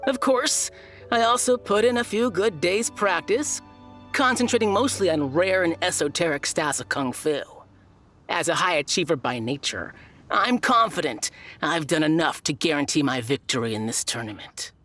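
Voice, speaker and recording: A woman's voice: deep voice, expressive, normal speed, fairly bright tone, faint music behind. A woman voicing a smug, boastful tournament contestant in a video game who is sure of her own perfection. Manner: boastful, self-satisfied.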